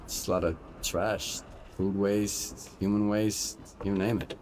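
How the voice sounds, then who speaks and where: A man playing a blunt, unimpressed wasteland mercenary in a game cutscene, with a deadpan delivery. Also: fairly low pitch, takes it slowly. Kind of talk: video game cutscene acting. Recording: noisy game cutscene audio.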